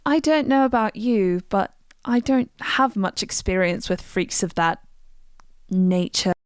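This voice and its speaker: A woman voicing a wry adventurer in a fantasy game, telling of her misfortunes in a measured way. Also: medium pitch, expressive, smooth, dry recording, studio quality, natural voice. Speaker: voice actor for a game mod. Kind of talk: scripted game character dialogue.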